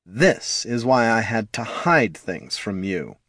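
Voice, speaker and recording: A man's voice: on the low side, big pitch swings, slow pace, fairly bright tone, slightly rough, no room sound, studio quality, full character voice. A man voicing an undead lich wizard father in a fantasy storybook, pedantic, haughty and self-justifying. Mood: defensive, pedantic.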